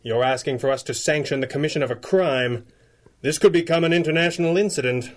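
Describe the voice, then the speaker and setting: An actor playing a stern, formal masked hero leader in a radio drama. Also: male voice, fairly high pitch, natural voice. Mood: measured. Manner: measured, formal.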